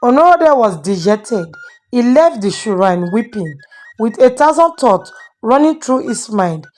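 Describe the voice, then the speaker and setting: A woman's voice: on the high side, expressive, a little slow, a little mellow, soft background music. A woman storyteller hosting and dramatically narrating a moral tale. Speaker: storyteller and channel host.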